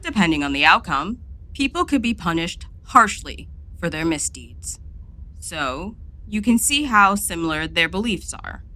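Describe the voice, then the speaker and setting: A woman's voice: on the low side, big pitch swings, a little slow, bright, forceful tone, heavily acted. A woman voicing an aloof, sardonic wise monkey who lectures, in an audio drama. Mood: lecturing.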